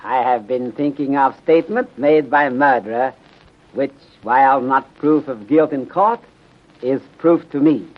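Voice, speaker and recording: A man playing a wise, courteous middle-aged Chinese detective in 1930s radio drama, speaking formally and deliberately in clipped sentences that drop their articles. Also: mid-range voice, natural voice. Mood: measured, philosophical. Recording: dull muffled 1930s radio recording.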